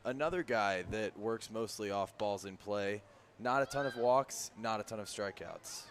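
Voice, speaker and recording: Low-voiced man doing color commentary. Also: normal speed, slight background noise. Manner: relaxed, opens with "yeah", analytical. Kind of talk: radio baseball color commentary.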